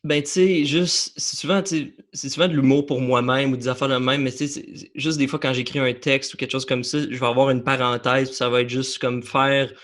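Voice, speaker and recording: Young Québécois man, guest chatting about films, rambling, halting, lots of fillers. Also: medium pitch, little pitch movement, a bit bright. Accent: Québécois French. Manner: rambling, many fillers and restarts. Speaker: podcast guest. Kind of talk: casual chat about films.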